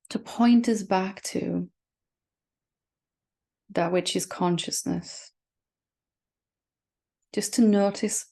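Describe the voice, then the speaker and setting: A woman leading a guided meditation as a spiritual teacher, speaking gently and reassuringly with long, unhurried pauses. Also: medium pitch, gravelly.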